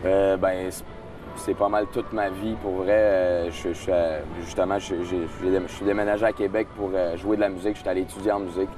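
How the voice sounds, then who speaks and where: A man's voice: low voice, flat delivery, fairly quick, music in the background. A young man with a Quebec French accent, a singer giving an interview outdoors at a festival, hesitant and full of fillers and restarts. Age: young adult. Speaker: singer guest. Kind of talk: casual interview.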